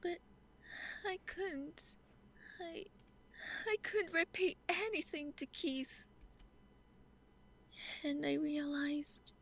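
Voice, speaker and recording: An actress playing a gentle grieving mother in a game, speaking in a breathy, halting near-whisper. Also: high voice, slight background noise. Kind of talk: dramatic monologue in a game.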